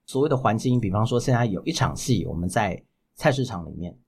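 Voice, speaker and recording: A man's voice: fairly low pitch, expressive, fast talker, darkish tone, husky. A man with a Taiwanese Mandarin accent answering interview questions as a voice actor, explaining things with examples and repeating key points. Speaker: professional voice actor, podcast guest.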